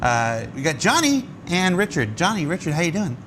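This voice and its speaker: A man's voice: fairly high pitch, very animated, normal speed, a crowd in the background. A man hosting a conversational talk show, explaining things and putting questions to guests. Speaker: show host.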